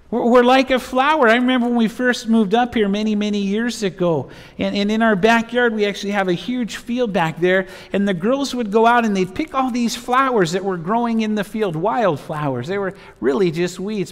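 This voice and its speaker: A man in his fifties, a pastor preaching and reading scripture in emphatic short phrases in a church. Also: high voice. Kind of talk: expository sermon with Bible reading. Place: church sanctuary, at a pulpit. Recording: reverberant church hall sound.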